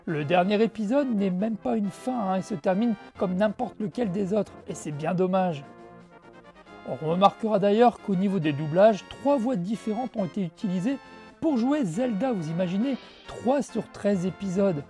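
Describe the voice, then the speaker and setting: Female presenter, mid pitch, soft-edged tone, conversational scripted review with light music underneath. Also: animated, moderate pace. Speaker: host of a retro video game show. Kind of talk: scripted review narration with casual asides.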